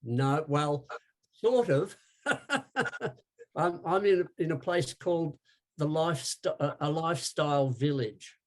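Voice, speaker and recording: A man's voice: a little high, takes it slowly, echoey room. An older man, hosting a conversational interview. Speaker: interview host, former cameraman.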